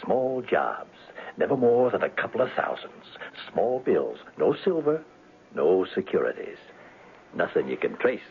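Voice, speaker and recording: Hard-nosed detective, low gravelly voice, clipped and emphatic, thin noisy radio sound. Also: male voice, sing-song, a little slow, narrow, tinny audio, full character voice. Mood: insistent, certain. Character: gruff, certain, dogged insurance detective, a man. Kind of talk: old-time radio drama acting. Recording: band-limited old radio broadcast with hiss.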